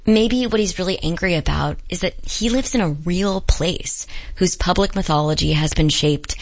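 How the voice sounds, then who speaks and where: A woman reporter giving a scripted, measured narration for a feature report. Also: on the low side, low-quality recording.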